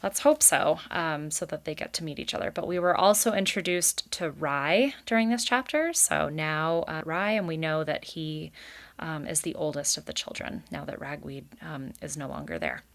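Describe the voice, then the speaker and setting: Female read-aloud storyteller, lower register, narrating children's fiction with casual asides. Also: lively intonation, moderate pace. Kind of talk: expressive children's book narration with casual commentary.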